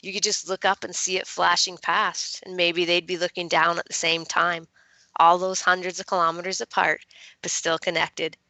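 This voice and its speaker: A woman voicing an earnest, smitten teenage boy in a soft way while reading a young adult novel. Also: on the low side, little pitch movement, moderate pace, no room sound, rough recording. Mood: earnest, dreamy.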